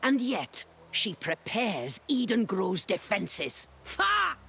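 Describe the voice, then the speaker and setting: A man's voice: high voice, wildly swooping pitch, slow pace, creaky, sharp tone, exaggerated voice. A man voicing a wry, courteous and shrewd companion in a fantasy game, with theatrical phrasing. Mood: skeptical.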